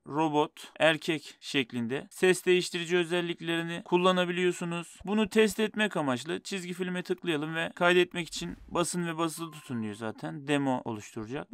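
A man's voice: high-pitched, a bit bright, smooth, no echo, clean studio sound. A man narrating an instructional phone tutorial in a methodical, step-by-step way. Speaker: tech tutorial host.

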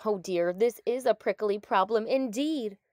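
A woman voicing a kindly, tired male porcupine doctor in a children's storybook, gentle and earnest. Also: mid-range voice, unhurried, dry recording, clean studio sound, close to an everyday voice. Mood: concerned. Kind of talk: children's storybook read-aloud.

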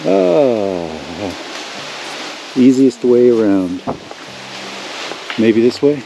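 An older man, a homesteader who casually narrates as he walks outdoors through woods and swamp, pointing things out in a relaxed, rambling way. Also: mid-range voice, drawn-out words, gravelly, noisy background. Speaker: homesteader vlogger.

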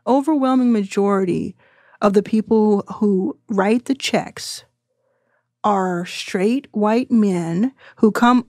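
A woman in her thirties talks through her reasoning in an earnest, measured way, at a middling pitch. The sound is dry and close, with no room around her. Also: darkish tone, no room sound. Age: 30s. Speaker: guest investor. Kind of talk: reflective podcast interview.

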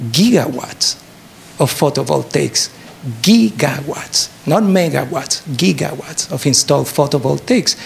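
Chilean guy prof doing a q&a, really creaky voice, native spanish speaker, bit high, punchy short points. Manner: animated explaining, short emphatic statements, rhetorical questions. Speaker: professor giving a talk. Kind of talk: answering an audience question at a public lecture. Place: university lecture theatre. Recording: noisy lecture hall recording.

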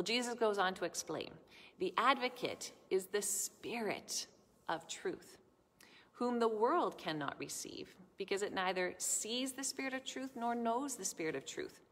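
A middle-aged woman giving a children's sermon in a large church sanctuary, speaking gently and explaining things to children. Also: mid-range voice. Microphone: camera in front, at close range. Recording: long church reverb.

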